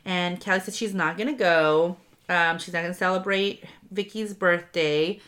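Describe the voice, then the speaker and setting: A woman's voice: fairly low pitch, moderate pace, a bit bright. A woman co-hosting a podcast, a little congested in the nose. She talks in loose, casual chat, full of ums, likes and easy swearing. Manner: chatty, swears freely, frequent ums and likes. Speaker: podcast co-host recapping a reality show.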